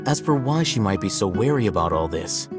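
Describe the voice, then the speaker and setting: A man voicing a calm, aloof young male scholar in a video game, measured and dry. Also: fairly low pitch. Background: music.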